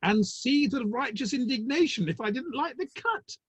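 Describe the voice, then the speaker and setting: A man playing a wry, urbane, gossipy Victorian theatre manager in a dramatic play reading, with arch, ornate delivery and a husky voice. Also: very high-pitched, lively intonation, normal speed, no room sound, heavily acted. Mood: droll, gossipy. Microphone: online call.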